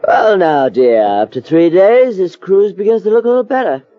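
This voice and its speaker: A man's voice: high-pitched, expressive, moderate pace. An actor playing a drunken, mocking and menacing husband in old radio melodrama style, pompous and wheedling in his florid talk. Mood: smug, tipsy. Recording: dull old radio recording.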